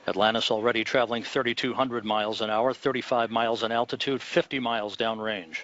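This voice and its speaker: A man giving live space launch commentary in a measured, formal announcer style. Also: medium pitch. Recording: muffled broadcast audio under loud ambient noise.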